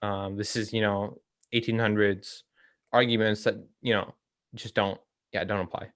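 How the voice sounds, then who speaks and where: A young man co-hosting a casual discussion, talking in a hesitant, halting way. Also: on the low side, little pitch movement, a bit bright, no room sound, studio quality. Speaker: co-host of a reading discussion.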